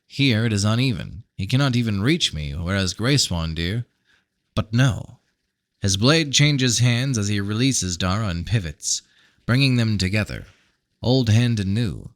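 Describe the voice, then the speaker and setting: A man narrates a fantasy novel in a dramatic, measured way. His voice sits on the low side, and the sound is dry and close, with very little room in it. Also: animated, dry recording. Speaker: audiobook reader.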